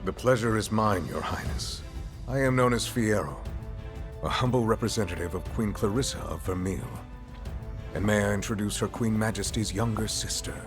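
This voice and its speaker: An actor playing a courtly, earnest royal envoy in a video game, with formal, grave manners. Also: male voice, fairly low pitch, normal speed, natural voice. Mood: courteous. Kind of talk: video game cutscene acting. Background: music.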